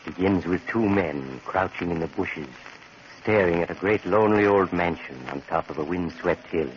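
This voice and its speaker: An actor playing a sinister old storyteller, narrating a 1940s radio horror tale in a slow, theatrical, ominous manner. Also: male voice, sits low, close to an everyday voice. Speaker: host and narrator of a horror anthology. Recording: noisy, muffled 1940s radio recording.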